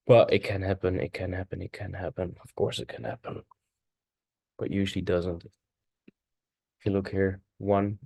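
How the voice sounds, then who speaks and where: Man, Dutch native speaker, very low voice, dry recording, halting, self-correcting. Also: a little mellow, dry recording. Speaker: forex trader. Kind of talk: casual chart walkthrough.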